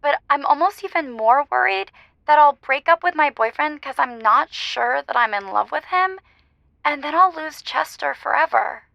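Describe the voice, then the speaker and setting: A woman's voice: high voice, animated, moderate pace, creaky, pushed and bright, dry recording, muffled recording, heavily acted. A woman playing an earnest, quirky young dog lover calling in to a conversational advice show. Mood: earnest, sheepish. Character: earnest, quirky dog-loving young female radio caller. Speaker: caller.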